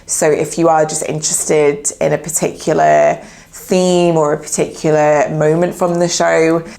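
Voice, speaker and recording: A woman's voice: low-pitched, level tone, a little slow, gravelly, warm tone. A young adult woman, a therapist, chatting casually to camera, a little nasal from a cold, with fillers like "yeah" and "like.". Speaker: therapist YouTuber.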